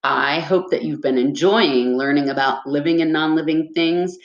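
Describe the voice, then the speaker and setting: Low-voiced woman teacher in an echoey room. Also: normal speed, highs cut off. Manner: instructional, addresses children directly with check-in questions. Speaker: elementary school teacher. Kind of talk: read-aloud lesson for young children.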